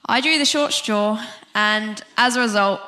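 A woman's voice: on the high side, unhurried, sharp tone, rough recording. A woman giving a prepared sermon as a speaker at a church.

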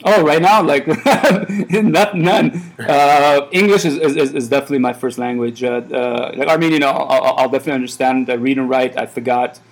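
A man in his thirties talks loosely and at length, circling back with many fillers and false starts. He was born in Iran and runs a café, and this is a relaxed, casual interview. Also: medium pitch, normal speed, hardly any pauses, poor audio. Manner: rambling, many fillers and restarts. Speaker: café owner.

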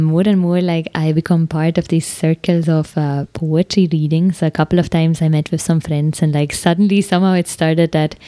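Low, mellow woman musing. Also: no room sound. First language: Swiss German. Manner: thoughtful, searching, many filler "like"s. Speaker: podcast host. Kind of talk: reflective personal monologue.

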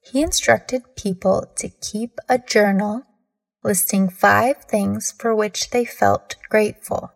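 A woman leading a scripted guided meditation in a measured, soothing manner with deliberate pauses between phrases. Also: mid-range voice, steady tone, gravelly, rough recording.

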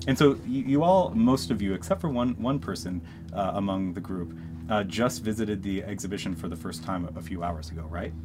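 A man hosting a podcast, introducing and interviewing in a measured way. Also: fairly low pitch, noisy background. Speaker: podcast host.

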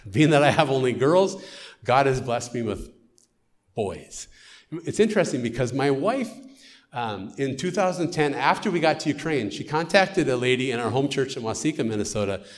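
A man's voice: medium pitch, animated, normal speed. Earnest American man telling stories. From United States. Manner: earnest storytelling. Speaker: missionary. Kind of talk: church testimony talk.

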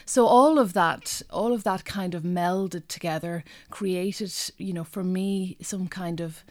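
A woman poet from Donegal in her forties with a Donegal Irish accent, Irish her first language, reading a poem and answering interview questions in a thoughtful, measured way with many pauses. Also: lowish voice, a little mellow, no echo. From North West Donegal, Ireland. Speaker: poet, guest.

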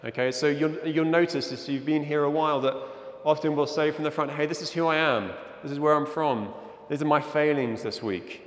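A man's voice: on the high side, a little fast. Echoey middle-aged man preaching informally. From Zimbabwe. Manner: earnest, conversational, often seeking agreement with "yeah?". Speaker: church leader preaching. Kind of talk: informal sermon with slides. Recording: echoey hall with long reverb.

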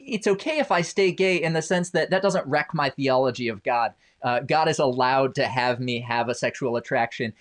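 A man who grew up in Indonesia, a podcast guest, telling his personal story with wry, self-deprecating humor. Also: medium pitch. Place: home basement.

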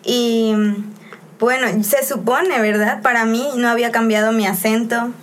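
A young woman with a Mexican accent from Xalapa tells stories in a chatty way, circling back with fillers and restarts. Her voice sits a bit high for a woman. A faint hiss runs under the recording. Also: flat delivery, a little slow. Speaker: missionary guest. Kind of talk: casual conversation on a podcast.

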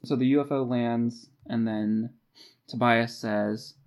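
A man with a mid-pitched voice talks as a podcast co-host. He retells a book in a loose, conversational style. His delivery is informal, with wry little jokes along the way. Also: steady tone, takes it slowly, darkish tone. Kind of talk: casual book discussion with plot recap.

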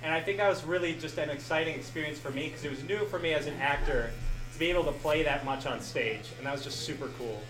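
A man's voice: a little high, fast talker, background noise. Young male podcast host, rambling and self-deprecating, live Q&A feel, echoey room. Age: young adult. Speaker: podcast host answering audience questions. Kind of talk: casual live Q&A.

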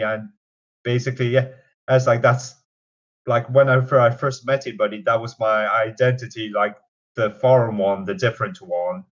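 A young man in his twenties from Canada with a mixed Canadian and British accent, talking hesitantly with many fillers in an interview. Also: mid-range voice. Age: 20s. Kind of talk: casual interview. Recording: dull lo-fi sound.

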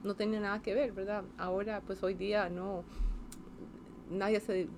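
A Costa Rican woman in her fifties talking through her career in a relaxed interview. She pauses often, restarts her sentences and keeps checking in with little tag questions. Her voice sits at a middling pitch over a faint hiss behind. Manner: hesitant, many fillers and restarts, tag questions. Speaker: engineer interviewee. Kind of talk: casual interview.

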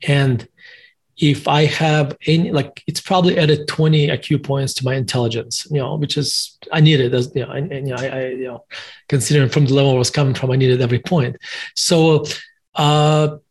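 A middle-aged man with a Russian accent, talking as a guest in an interview, halting and restarting often. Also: mid-range voice, fairly even intonation, breathy edge. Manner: halting, many restarts, self-deprecating. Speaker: guest author and investor. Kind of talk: interview conversation.

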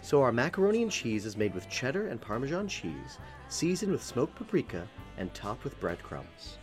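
A man acting in an audio drama as an obliging, expressive diner waiter. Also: mid-range voice, moderate pace, soft background music. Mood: polite.

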